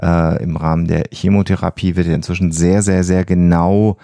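A man with a deep, gravelly voice co-hosts a podcast. He explains in a conversational way, repeating words to stress a point, in a mellow tone recorded dry and close. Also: flat delivery, moderate pace, no room sound. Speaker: podcast co-host.

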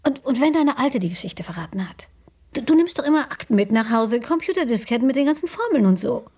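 A brash, foul-mouthed young woman with a husky voice, blunt and defiant. She sounds set back from the mic in a radio drama. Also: a little high, animated, quick pace, warm tone. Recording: distant mic.

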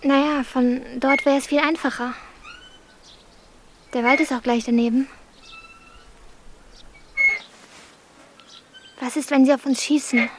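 A woman's voice: high-pitched, steady tone, moderate pace, noisy background, close to an everyday voice. A woman playing a small, childlike thirteen-year-old girl, anxious yet stubborn, in a radio play. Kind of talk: German radio play acting.

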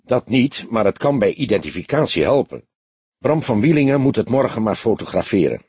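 A man's voice: lowish voice, sing-song, a little fast, a little mellow, breathy edge, no room sound, full character voice. A man voicing a young detective in an audiobook, an earnest and self-assured junior colleague who reports precisely and emphatically.

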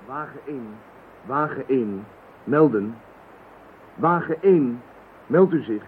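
A man's voice: mid-range voice, lively intonation, a little slow, close to an everyday voice. An actor playing a dutiful, persistent male police radio operator, calling in clipped phrases in a radio drama. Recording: tinny, band-limited, hissy radio play recording.

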